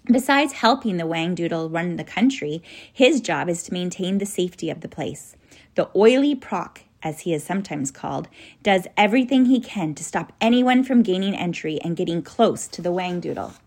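Storybook role: kindly professor, grave and earnest, measured guide to children played by a female reader. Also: lowish voice, expressive, a little mellow. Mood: explaining, concerned.

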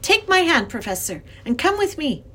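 Lady doing an eager little girl in a kids story, high voice, super animated, pleading and insistent. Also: normal speed. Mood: firm, urgent. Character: earnest, excitable young girl, pleading and insistent. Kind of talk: acted children's storybook reading.

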